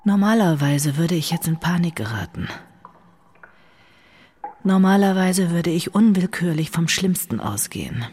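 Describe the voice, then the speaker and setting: An actress playing a woman narrating a dark, trancelike inner monologue in hushed, breathy, deliberate short phrases. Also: fairly low pitch, sing-song, a little hiss or hum. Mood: hushed, eerie. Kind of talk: intimate audio drama monologue.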